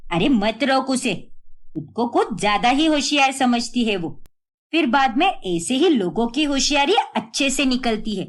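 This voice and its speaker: Woman as a sharp-tongued older mother-in-law. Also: high voice, animated, moderate pace. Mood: scornful. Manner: scolding, scornful.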